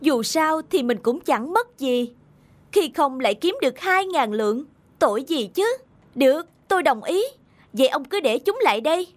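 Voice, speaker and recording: A woman's voice: sits high, lively intonation, moderate pace, no echo. A woman voicing a plump, greedy male shopkeeper in a folk-tale cartoon, fretful and muttering schemes to himself. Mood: calculating. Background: loud instrumental music.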